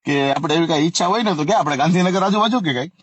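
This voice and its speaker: Fast, high-voiced, pushy Saurashtra Gujarati man. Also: slightly rough, no room sound, lo-fi sound. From Gujarat, India. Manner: pushy, challenging, insistent. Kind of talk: confrontational phone conversation. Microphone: phone call.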